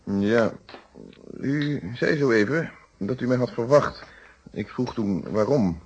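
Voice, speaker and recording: An actor in a Dutch radio play playing a courteous, methodical police inspector with formal, deliberate questioning. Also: male voice, mid-range voice, a little mellow, breathy edge. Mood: courteous, probing. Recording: dull, band-limited old radio recording.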